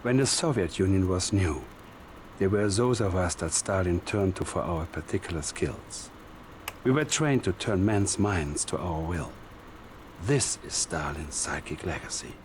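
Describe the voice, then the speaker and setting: An actor playing a sinister, scheming psychic advisor in a game cutscene, speaking softly and menacingly, often close to a whisper. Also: male voice, low voice, a little slow, a little hiss or hum. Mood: confiding. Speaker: Soviet military advisor. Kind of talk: video game cutscene acting.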